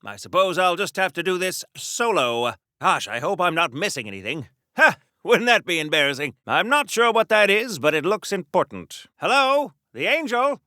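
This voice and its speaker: A man's voice: sits high, big pitch swings, normal speed, few pauses, sharp tone, no room sound, clean studio sound, exaggerated voice. An actor playing an earnest, boastful, chattering American superhero man from the 1940s, in theatrical old-time radio serial style for a video game. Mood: hopeful, chatty. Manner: wholesome old-time slang, theatrical, rambling.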